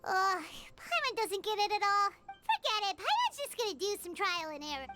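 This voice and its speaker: A woman voicing a tiny childlike female fairy companion in a video game, squeaky, exclamatory and whiny. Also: very animated, normal speed. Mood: puzzled, whiny. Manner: speaks of self in third person, exclamatory. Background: game music.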